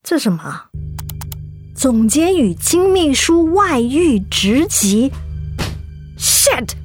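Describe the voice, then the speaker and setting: An actress playing a hot-tempered young office worker, exclamatory and indignant, in a radio drama. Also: female voice, high voice, very animated, very slow, soft background music, exaggerated voice. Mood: irritated, outraged.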